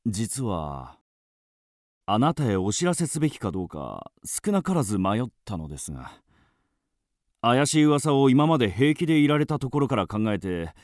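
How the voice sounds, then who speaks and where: An actor playing a polite, serious police detective in a radio drama, speaking formally and with measured deference. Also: male voice, medium pitch, big pitch swings, a little mellow, no echo, clean studio sound, lightly acted. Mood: grave.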